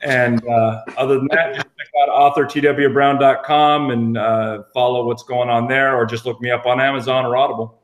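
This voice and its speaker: Male author guest, medium pitch, casual conversational delivery, slightly halting. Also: steady tone, rough recording. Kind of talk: casual chat about own work.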